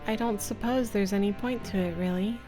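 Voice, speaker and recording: A woman's voice: medium pitch, fairly quick, music in the background, lightly acted. Woman playing a placid, mild woman gravedigger. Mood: placid, wistful. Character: placid, sentimental young woman gravedigger, quietly dutiful.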